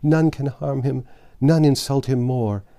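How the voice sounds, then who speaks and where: A man's voice: medium pitch, fairly even intonation, a little slow, dark tone, pitched above the performer's own voice, lightly acted. Man voicing a dying, impassioned peasant woman. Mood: grieving, fervent. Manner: impassioned archaic speech. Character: dying peasant woman, gaunt, grieving, bitter and grateful.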